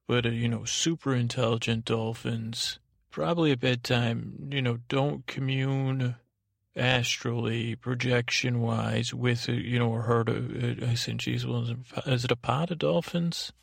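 Male sleep-podcast host: thick vocal fry, gravelly, fairly flat intonation, wandering, hesitant, lulling delivery. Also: mid-range voice, no echo, clean studio sound. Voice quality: creaky, gravelly. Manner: meandering, halting, digressive; lulling, soothing. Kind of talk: rambling bedtime monologue to lull listeners to sleep.

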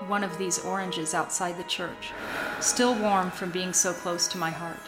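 An actress playing a cautious, wistful young Sicilian woman who narrates a radio play with dry wit and a measured, confiding delivery. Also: fairly low pitch, steady tone, natural voice, rough recording. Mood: wistful, romantic. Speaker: narrator and lead character. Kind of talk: cinematic radio play acting. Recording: voice over soundtrack music.

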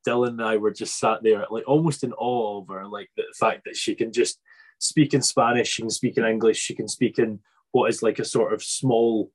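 A man's voice: on the low side, breathy edge. A man hosting a casual interview podcast in a chatty, rambling way, often breaking into his own sentences. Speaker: podcast host.

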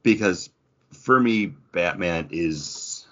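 Lower-voiced man in relaxed podcast conversation. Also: takes it slowly. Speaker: podcast co-host. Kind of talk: casual podcast chat. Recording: dull, band-limited podcast audio.

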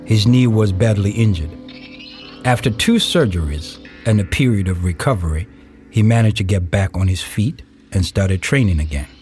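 A man reading scripted narration for an audio play in a measured storytelling way. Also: fairly low pitch, a little mellow. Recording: music behind the voice. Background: music.

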